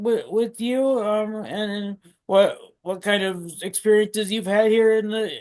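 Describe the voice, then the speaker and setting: A man interviewing a guest, asking questions haltingly and repeating words often. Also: high voice. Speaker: student interviewer.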